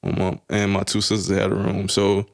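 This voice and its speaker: A man's voice: on the low side, completely flat delivery, brisk, vocal fry. A young man talking casually on a podcast, telling loose, profane stories with lots of filler phrases. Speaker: podcast co-host. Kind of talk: casual chat.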